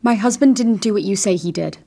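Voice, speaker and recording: A woman's voice: mid-range voice, a little fast, gravelly, warm tone. An actress playing a guarded, defensive young mother in an audio drama, speaking insistently. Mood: guarded, pleading. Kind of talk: audio fiction acting.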